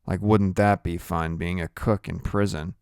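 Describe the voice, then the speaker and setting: A man's voice: low voice, steady tone, measured pace, vocal fry, darkish tone, clear, resonant tone, no echo, clean studio sound. A man voicing a nervous, placating motorcycle gang boss in an audiobook. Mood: eager nervous. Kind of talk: audiobook character voice.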